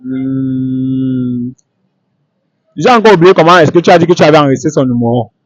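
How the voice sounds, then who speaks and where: A man hosting an unscripted street interview, speaking in halting, repetitive phrases. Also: mid-range voice, lively intonation, no room sound, muffled recording.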